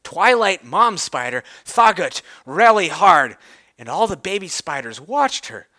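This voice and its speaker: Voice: medium pitch, big pitch swings, measured pace, pushed and bright. A person giving a theatrical, emphatic dramatic reading of a story, drawing out words for effect.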